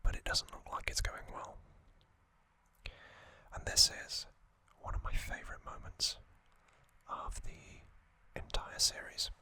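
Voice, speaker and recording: A man's voice: slow pace, sharp tone, dry recording. A man whispering in ASMR style as he recounts trivia, hesitant and full of ums and uhs. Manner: hesitant, self-correcting delivery. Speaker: ASMR creator.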